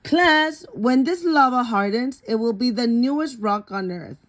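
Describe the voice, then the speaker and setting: A woman's voice: fairly high pitch, animated, measured pace, pitched above the performer's own voice. A woman voicing an unflappable female schoolteacher in a storybook, declaring facts to her class. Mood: instructive, eager.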